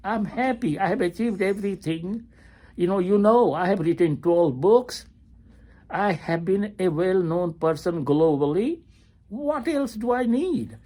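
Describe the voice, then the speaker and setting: Slow, halting man in his eighties. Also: fairly high pitch, darkish tone. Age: 80s. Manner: slow, halting, with many pauses and fillers. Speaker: retired family physician. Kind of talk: personal video diary. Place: hospital waiting area.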